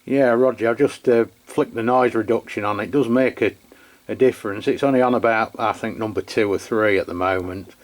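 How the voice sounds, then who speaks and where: A man chats casually in a low voice. He talks like a hobby CB operator in an easy two-way exchange. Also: steady tone, a little fast, slight background noise. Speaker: radio review channel host, CB operator. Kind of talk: casual two-way radio chat.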